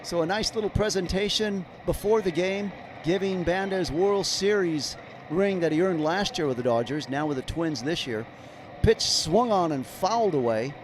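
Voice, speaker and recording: A slightly hoarse man doing live play-by-play commentary of a baseball game, calling each pitch as it happens. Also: animated, moderate pace, slight background noise. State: slightly hoarse, clearing throat.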